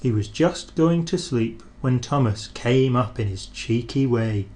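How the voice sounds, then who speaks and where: Guy reading a kids train story, animated storyteller voice, middle pitch. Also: a little slow. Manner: expressive, animated storybook narration.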